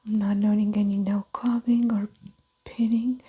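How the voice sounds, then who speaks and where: A woman whispering softly in an ASMR roleplay as a doctor, giving gentle, methodical clinical instructions and observations. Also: mid-range voice, little pitch movement, normal speed, muffled recording. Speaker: ASMR performer playing a doctor. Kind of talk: soft-spoken whispered ASMR medical roleplay.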